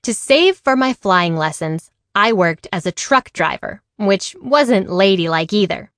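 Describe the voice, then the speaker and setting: Animated, unhurried woman reading a storybook. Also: mid-range voice, no echo, studio quality. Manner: animated, acts out dialogue. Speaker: read-aloud storyteller. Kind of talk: expressive children's picture-book read-aloud.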